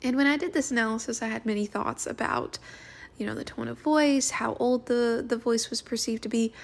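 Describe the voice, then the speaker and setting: A woman's voice: on the high side, fairly quick, gravelly. A woman recording a listener voice message with reflective fan commentary.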